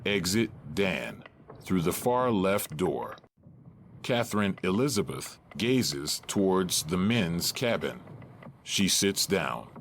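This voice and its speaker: A man narrating a play, reading stage directions in a measured, matter-of-fact way. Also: low-pitched, very animated, a little hiss or hum.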